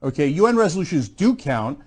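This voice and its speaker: A man's voice: fairly high pitch, very animated, a little fast, husky. A man hosting a political show, arguing in a heated debate with many hesitant fillers.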